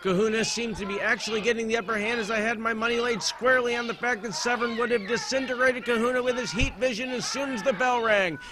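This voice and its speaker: Man, high-pitched, American accent, comedic sports commentator, deadpan, verbose, noisy recording. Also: fast, hardly any pauses. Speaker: wrestling play-by-play announcer.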